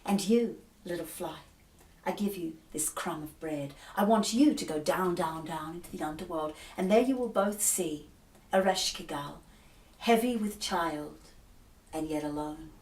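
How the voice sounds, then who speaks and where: A woman voicing a wise and compassionate male god of water, giving slow, grave commands. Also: on the low side, darkish tone, natural voice. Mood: solemn. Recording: roomy echo, distant mic.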